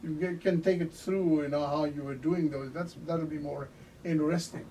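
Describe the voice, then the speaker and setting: Mellow-voiced man hosting an interview. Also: fairly high pitch, fairly even intonation. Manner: halting, many fillers and restarts. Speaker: talk show host. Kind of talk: casual interview. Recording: distant mic with faint noise.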